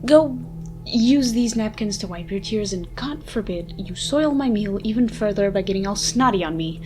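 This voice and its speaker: A woman playing a haughty, sardonic ancient vampire with a soft side, speaking in wordy mock-formal lines in a dramatic ASMR roleplay. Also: mid-range voice, lively intonation, normal speed, lightly acted. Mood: fed up. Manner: theatrical, wordy, dry mock-formal speech. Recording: music behind the voice. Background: music.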